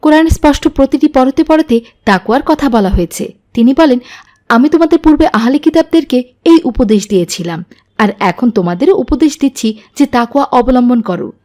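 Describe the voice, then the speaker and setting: A woman's voice: sits high, lively intonation, fairly quick, warm tone. A woman voicing a pious, earnest teacher figure in an Islamic cartoon, speaking formally and instructively. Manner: formal, didactic. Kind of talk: scripted Islamic cartoon dialogue.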